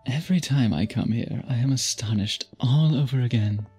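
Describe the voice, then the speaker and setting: A man's voice: mid-range voice, normal speed, warm tone, breathy edge, faint music behind. A man playing an obsessive, old-fashioned vampire suitor in an intimate ASMR roleplay, speaking in formal, archaic, possessive phrases. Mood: charmed, courteous.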